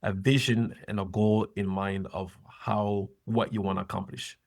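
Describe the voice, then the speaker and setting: A middle-aged man, a former soccer player and coach, giving earnest, measured advice as a podcast guest. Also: on the low side, little pitch movement, vocal fry, darkish tone. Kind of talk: interview conversation.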